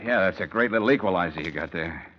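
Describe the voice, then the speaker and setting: A man's voice: low voice, slight background noise, natural voice. Man playing a wry radio detective, muffled sound. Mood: sarcastic. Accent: American. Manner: sardonic, slangy, quick comebacks. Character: wry, tough-talking male amateur sleuth. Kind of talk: 1940s-50s radio detective acting. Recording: muffled vintage radio broadcast.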